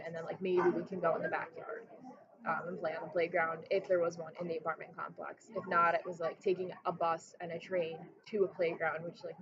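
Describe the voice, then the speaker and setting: A woman's voice: on the low side, muffled recording, background noise. A young woman from Russia with an American accent, chatting casually in an interview and filling her talk with "like". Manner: chatty, frequent "like" fillers.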